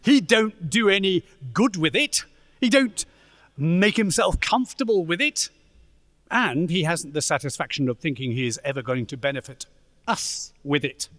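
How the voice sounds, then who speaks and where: A man playing a cheerful, good-natured nephew in a radio play, with a jovial, animated manner. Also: a little high, normal speed, big-room sound. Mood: good-humoured. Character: cheerful, good-natured nephew amused by his miserly uncle.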